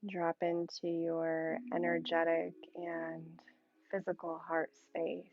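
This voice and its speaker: Slow, low-key woman guiding meditation, phone-like sound. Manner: calm, soothing, with long pauses between short phrases. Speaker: yoga instructor. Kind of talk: guided meditation in a yin yoga class.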